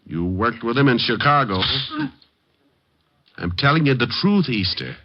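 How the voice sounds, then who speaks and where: An actor playing a tough, wisecracking private detective with a deadpan, sardonic, coolly menacing delivery, in old-time radio drama style. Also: male voice, medium pitch, unhurried, background noise, lightly acted. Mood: calm menace. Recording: muffled, dry vintage radio recording.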